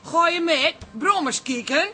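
A woman playing a bossy, motherly figure in a comic radio play, brisk and chivvying. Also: very high voice, animated, sharp tone, soft background music, heavily acted. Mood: teasing. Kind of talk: radio play comedy acting.

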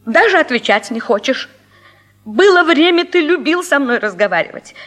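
An actress playing a nagging, wounded wife in a radio comedy sketch, plaintive and theatrically insistent. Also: female voice, sits high, expressive, normal speed, a bit bright. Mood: reproachful. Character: nagging, wounded wife fishing for reassurance from her husband.

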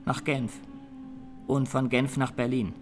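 An actor playing a young Armenian defendant, plain-spoken and haunted, giving measured testimony in a radio courtroom play. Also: male voice, mid-range voice, flat delivery, music in the background, natural voice. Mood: factual.

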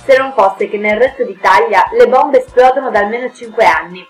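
A woman's voice: fairly high pitch, brisk, faint music behind. A woman hosting a radio history programme, reading a scripted narration. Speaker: radio show host.

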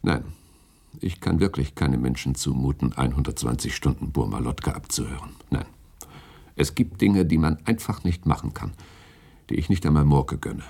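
A tired station boss, muttering and resigned, super low dark husky voice, radio play. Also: male voice, animated, moderate pace, exaggerated voice. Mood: weary refusal. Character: weary male radio station director, refusing firmly. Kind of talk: German radio play acting.

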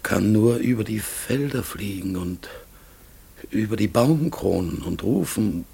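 A man playing a melancholy outcast talking raven in a radio play, solemn, gravelly and husky, sometimes sinking into whispers. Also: lowish voice, lively intonation, mellow, exaggerated voice. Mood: anguished, forlorn. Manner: slow, solemn, mournful.

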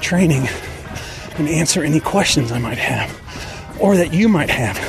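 A man's voice: a little high, animated, measured pace, creaky, music in the background. A middle-aged man hosting a podcast, talking in a conversational, personal way. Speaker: podcast host. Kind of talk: conversational podcast monologue and interviewing.

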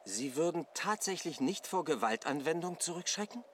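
A man's voice: fairly high pitch, expressive, normal speed, a little hiss or hum, close to an everyday voice. A man playing a fussy, formal engineer who is officious and polite, in a comic radio drama. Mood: guarded. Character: fussy, formal engineer at an odd research station.

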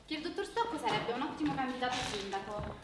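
A woman's voice: high-pitched, lively intonation, quick pace, very echoey, far from the mic, heavy background noise. A woman playing an outspoken, combative young woman who asks insistent, challenging questions in an Italian radio drama. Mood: probing.

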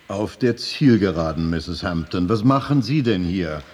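An actor playing a stern, sanctimonious prison chaplain in a darkly comic radio drama, pompous, measured and dryly reproving. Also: male voice, sits low, animated, a little mellow. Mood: disapproving, dry.

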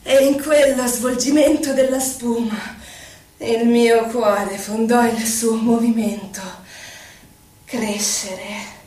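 A rapturous, lyrical verse speaker played by a woman with a high voice and slow, drawn-out declamation. The sound is distant and heavily reverberant, as on a stage. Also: steady tone, dark tone. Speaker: stage actress. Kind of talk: theatrical poetry recitation. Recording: very echoey, distant, faint music behind.

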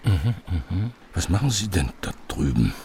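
A man's voice: low voice, very animated, moderate pace, a little mellow, far from the mic, a little hiss or hum. A man playing a wary, probing old retired scientist in a radio drama, speaking tersely with murmured hums. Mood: guarded, probing. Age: older. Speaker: actor in a radio crime drama. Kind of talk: naturalistic radio drama dialogue.

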